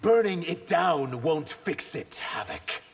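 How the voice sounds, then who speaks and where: Principled, reproving emissary for a fighting game, deliberate pace, highly varied pitch. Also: male voice, very animated, vocal fry, breathy edge, heavily acted. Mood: stern. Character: stern, principled male emissary warrior. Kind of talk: video game fight banter.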